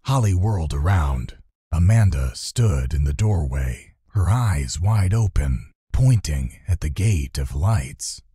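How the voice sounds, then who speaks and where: Low, husky male storybook narrator. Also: animated, takes it slowly, dark tone, no echo, studio quality. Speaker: audiobook narrator. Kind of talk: scripted children's fantasy narration.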